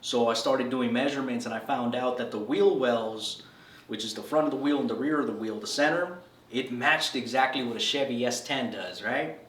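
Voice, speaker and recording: A man's voice: medium pitch, moderate pace, fairly bright tone. Laid-back man telling stories, echoey and distant. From El Salvador. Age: 30s. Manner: laid-back slangy storytelling, says "bro" a lot. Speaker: interviewee. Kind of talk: casual storytelling interview.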